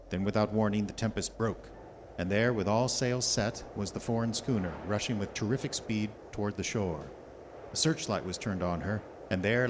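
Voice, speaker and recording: An actor playing a grave gentleman doctor, narrating a radio drama in a formal, measured way. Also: lowish voice, close to an everyday voice. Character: grave gentleman doctor presenting evidence and reading a news report. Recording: hall reverb, faint music behind.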